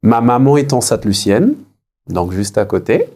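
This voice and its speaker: A man in his thirties from French Guiana, answering as an interview guest in a reflective, conversational way with frequent pauses. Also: medium pitch, big pitch swings, a little mellow. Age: 30s. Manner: hesitant, reflective, pausing often.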